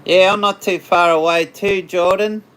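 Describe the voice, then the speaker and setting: An Australian man hosting a casual livestream, chatting with viewers in a rambling way, his voice slightly hoarse after hours of talking. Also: high-pitched, fairly even intonation, normal speed, a bit bright. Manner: rambling, chatty, laughs often. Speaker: livestream host. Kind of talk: casual live chat with viewers.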